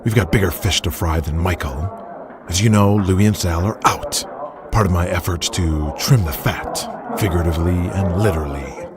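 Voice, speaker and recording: An actor playing a hard-nosed, commanding wrestling promotion boss in an audio drama. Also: male voice, low-pitched, normal speed, over a noisy crowd, natural voice. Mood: firm, businesslike. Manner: blunt, commanding.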